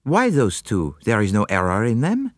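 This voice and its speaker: A fussy, precise older detective, as a male narrator puts him on, with a polite, measured manner and soft murmurs. His lines sound as though they are heard from a step back from the mic, in a dry room. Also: lowish voice, wildly swooping pitch, no echo. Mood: courteous.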